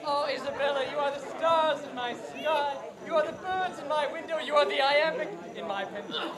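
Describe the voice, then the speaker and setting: A woman's voice: sits high, moderate pace, lightly acted. Woman doing a dramatic lovestruck poet guy, all flowery, crowd noise around. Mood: lovesick. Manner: florid, overblown romantic declaiming. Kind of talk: outdoor commedia dell'arte street show.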